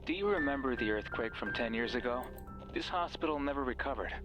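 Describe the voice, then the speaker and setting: A man performs a sinister guide from a horror visual novel. He speaks at a middling pitch, measured and ominous, coaxing the listener onward. Music plays clearly behind him. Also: lively intonation, natural voice. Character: mysterious controlling male radio voice guiding a listener, sinister. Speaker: guide character in a horror game.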